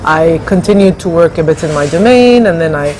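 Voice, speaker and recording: Middle aged woman from ghana, lowish voice, lots of ums, noisy background. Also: animated, a little fast, a little mellow. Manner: thoughtful, hesitant with many fillers. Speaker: interview guest, café co-founder. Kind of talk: conversational interview.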